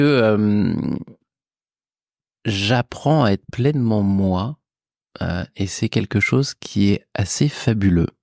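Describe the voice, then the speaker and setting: A man's voice: on the low side, darkish tone, dry recording, clean studio sound. A man in his forties, a podcast guest talking casually and reflectively, with informal asides and emphatic repetition.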